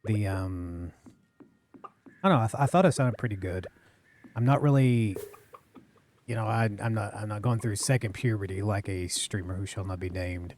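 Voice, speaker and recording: A man streaming a farming game, chatting casually into a close boom-arm mic, rambling and apologetic, with a deep voice he keeps low. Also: normal speed, long pauses, a little mellow, a little hiss or hum. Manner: rambling, self-correcting, apologetic, talks low. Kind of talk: casual live-stream chat while gaming. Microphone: studio mic on a boom arm, close to the face.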